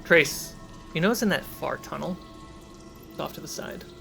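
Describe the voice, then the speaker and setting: A man's voice: fairly high pitch, normal speed, gravelly, bright, forceful tone, soft background music. A man narrating a tabletop fantasy game as game master, setting scenes and prompting players.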